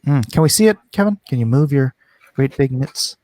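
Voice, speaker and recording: A man's voice: mid-range voice, animated, moderate pace, a little mellow, dry recording, poor audio. A man hosting a casual live online art auction, chatting and reading out comments in a playful, hammy way. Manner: playful, theatrical, hammy countdowns.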